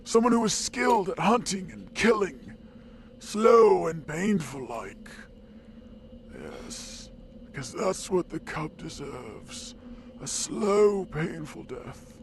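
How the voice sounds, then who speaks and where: A man voicing a vengeful creature who speaks slowly and broodingly. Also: sits high, expressive, background noise, heavily acted.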